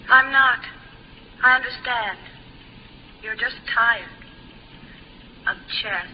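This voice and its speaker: An actress playing a cool, calm imaginary woman companion in a 1950s radio drama, speaking gently and with measure. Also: on the high side, takes it slowly, heavily acted. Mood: gently wounded. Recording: noisy muffled 1950s radio recording.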